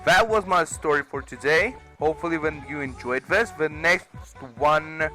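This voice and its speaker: Bright, higher-pitched young man. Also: moderate pace. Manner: animated, exaggerated comic emphasis. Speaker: video creator. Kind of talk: casual personal storytelling. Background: music.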